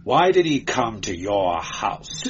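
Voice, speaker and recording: A man's voice: fairly low pitch, very animated, slow, dull audio, slight background noise. A man voicing a stern, weary inquisitor who questions people brusquely in an audiobook story. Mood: probing. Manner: snapping, brusque.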